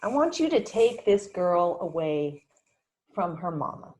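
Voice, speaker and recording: A woman's voice: on the low side, sing-song, a little slow, a little mellow. A woman in a screenplay table read playing a tough, protective country bar owner and mother, blunt and wry. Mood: firm, resigned.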